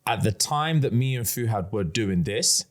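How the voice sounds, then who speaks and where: A man's voice: lowish voice, expressive, normal speed. A man in his thirties co-hosting a podcast, talking in a loose, rambling, frank way and swearing casually. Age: 30s. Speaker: podcast co-host. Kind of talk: casual chat.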